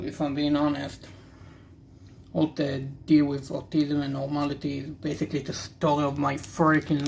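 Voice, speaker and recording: Slow, creaky-voiced young Israeli man rambling. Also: a little high, slight background noise. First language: Hebrew. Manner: rambling, candid, slow and halting. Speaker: card-opening video host. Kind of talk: casual rambling commentary while opening cards.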